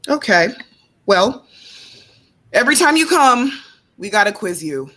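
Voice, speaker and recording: A woman hosting a panel discussion, lively and emphatic, with a playful streak. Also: mid-range voice, unhurried. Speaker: host.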